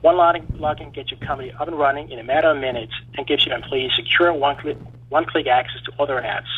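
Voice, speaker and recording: Fast-pitching man on a tinny phone line. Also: slight background noise. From Denmark. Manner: steady, rapid, read-through delivery. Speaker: startup founder pitching as a caller. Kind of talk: rehearsed sales pitch. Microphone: phone call.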